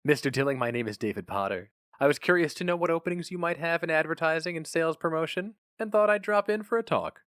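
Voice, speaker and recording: A man's voice: on the high side, quick pace, fairly bright tone, dry recording, studio quality, voice pushed up, lightly acted. A man voicing an urbane young male job applicant who is polite, eager and affectedly casual.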